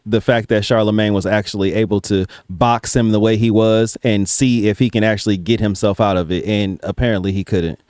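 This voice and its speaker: Low, smooth-voiced American man, close and dry. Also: a little mellow, dry recording. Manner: colloquial, slangy. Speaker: reaction commentator. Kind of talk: casual reaction commentary.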